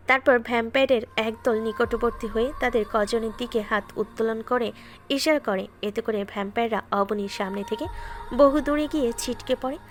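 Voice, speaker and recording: Woman narrating a dramatic story. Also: a little high. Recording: music bed behind.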